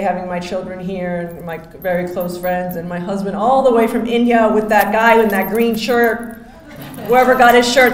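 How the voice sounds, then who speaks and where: Woman giving a speech in an echoey ballroom. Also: lowish voice. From Miami, Florida. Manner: chatty, warm thank-yous with many fillers and restarts. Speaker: hall of fame inductee. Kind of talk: acceptance speech. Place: hotel ballroom, on stage at a podium. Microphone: podium microphone. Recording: echoey ballroom with faint hum.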